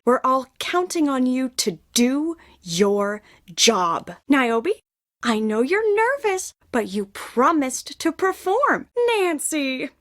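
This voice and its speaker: A woman's voice: sits high, very animated, slow pace. A woman actor rehearsing and improvising lines from a game script.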